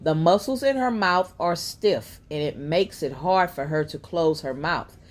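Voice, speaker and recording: A woman voicing a gentle, patient mother explaining things in a children's storybook. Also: deep voice, lively intonation, measured pace. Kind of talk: storybook character voice.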